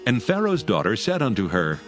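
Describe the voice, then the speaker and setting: A man with a lowish voice narrates scripture in a measured, solemn way. His intonation rises and falls expressively, and faint music plays underneath. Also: huge pitch swings.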